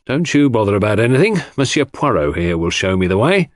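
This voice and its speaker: A man voicing a brisk, confident, businesslike police inspector in a mystery audiobook. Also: lowish voice, big pitch swings, no room sound, clean studio sound.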